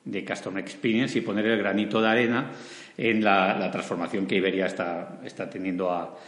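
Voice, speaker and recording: Male, middle-aged, Spain accent, lowish voice; enthusiastic interview guest talking conversationally in a reverberant hall. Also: fairly even intonation, brisk. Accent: Spanish, from Spain. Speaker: interview guest, customer experience executive and teacher. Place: large event room, indoors.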